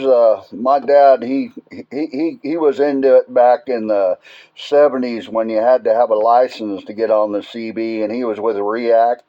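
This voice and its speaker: A man's voice: medium pitch, dull audio. An American man chatting casually as a radio operator in a rambling, folksy way. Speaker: amateur radio operator, channel owner recording contacts.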